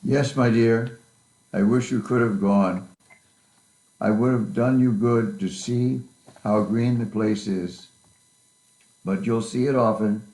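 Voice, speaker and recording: A man's voice: fairly low pitch, fairly even intonation, takes it slowly, long pauses, mellow, echoey room. A man reading the part of a mild, grieving poor clerk and father in a Victorian story, earnest and affectionate. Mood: tender. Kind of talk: dramatic reading of a classic story.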